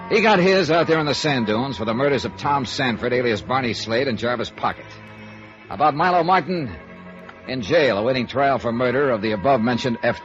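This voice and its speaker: An American actor playing a cool, wry, hard-boiled investigator in 1950s radio noir, sardonic and clipped. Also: male voice, mid-range voice, fairly bright tone. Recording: muffled vintage radio recording.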